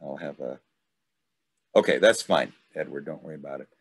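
A middle-aged man hosting an informal online study group, talking in a rambling, halting way over a video call. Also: a bass voice, gravelly, husky. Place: indoors at home, video call. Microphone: video call microphone.

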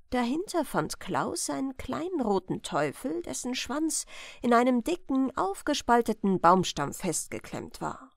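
Unhurried, expressive woman storyteller. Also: fairly high pitch, gravelly, no echo, studio quality. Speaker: audiobook reader and channel host. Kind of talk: bedtime fairy-tale narration. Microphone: large studio microphone, close.

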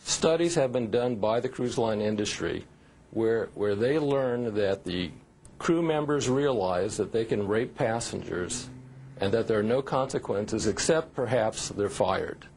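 A man's voice: fairly low pitch, animated, a little slow, darkish tone, a little hiss or hum, low-quality recording. A man in his fifties explaining things as a lawyer guest on a live television news interview. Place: remote TV studio.

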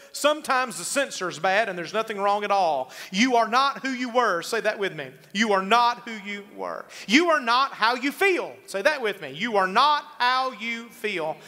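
A middle-aged man preaching a sermon as a pastor, emphatic, slowing on key phrases to drive them home. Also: high-pitched, pushed and bright. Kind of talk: sermon with congregational call-and-response. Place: church sanctuary stage, indoors.

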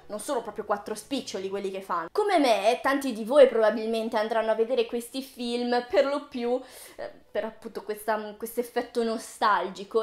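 A woman in her early twenties talking casually to her viewers in a video essay, with playful, ironic asides. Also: on the high side. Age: early 20s. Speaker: video creator, host. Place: small room with bookshelves, indoors. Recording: roomy indoor echo.

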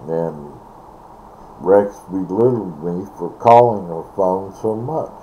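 An older man chatting casually and ramblingly, halting and repeating words, recorded on a device mic in a bedroom. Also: low-pitched, dark tone. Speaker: vlogger. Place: small bedroom, indoors. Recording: dull, noisy webcam audio.

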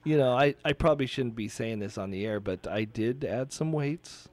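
A man talking in a relaxed, chatty way on a studio microphone. He laughs often and his voice lifts when he tells a story. His pitch sits in a normal middle range. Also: moderate pace, no room sound. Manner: laughs easily, chatty and animated. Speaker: radio show host. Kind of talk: casual radio chat. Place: radio studio.

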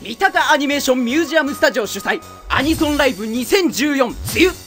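A woman's voice: sits high, animated, quick pace, fairly bright tone, faint music behind. A woman announcer reading a scripted promotional event announcement, enunciating each detail.

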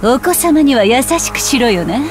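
An actress voicing a brash, bitter rocker witch in a video game, with sardonic, rough-spoken, taunting delivery. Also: high-pitched, lively intonation, moderate pace. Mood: mocking. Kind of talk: Japanese video game voice acting. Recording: loud music under the voice. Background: loud music.

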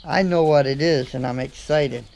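A middle-aged American man vlogging casually about his day, relaxed and chatty, filming himself in his vehicle and on a porch. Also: medium pitch, moderate pace, gravelly, a little hiss or hum. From USA. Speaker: trucker vlogger. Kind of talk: casual vlog chat. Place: in a parked vehicle, on a home porch outdoors. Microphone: camera mic close up.

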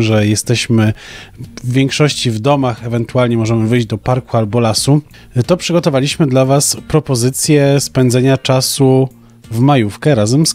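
A man's voice: medium pitch, a little mellow. A young man co-hosting a podcast with scripted book recommendations. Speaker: podcast co-host.

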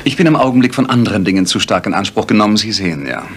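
An actor playing a cool, curt, dryly ironic detective in an audio drama. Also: male voice, on the low side, animated, very fast. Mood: politely dismissive. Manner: dry, polite, curt. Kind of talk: German audio drama acting. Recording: clear background ambience noise.

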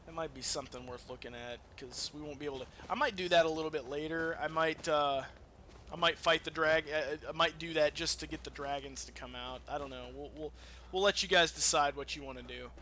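A man's voice: fairly high pitch, fairly quick, slight background noise. A man giving casual, profane gameplay commentary as a let's play host. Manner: profane, animated trash-talk.

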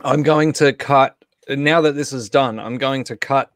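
A man's voice: mid-range voice, unhurried, no echo, clean studio sound. A man hosting an online table read, chatting casually with a group on a video call. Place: indoor room, video call.

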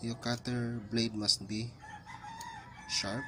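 A man giving informal step-by-step instructions in halting short phrases, pausing often as he presents a hands-on tutorial. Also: medium pitch, flat delivery, fairly bright tone, a little hiss or hum. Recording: outdoor ambience with birds and roosters. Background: roosters crowing, chickens, birds.

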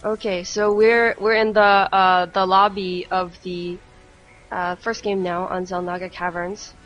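A woman co-hosting a casual live esports stream, speaking in a somewhat hesitant, halting way. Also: medium pitch, little pitch movement, a bit bright. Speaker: esports co-caster. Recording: music playing under the voice. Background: music.

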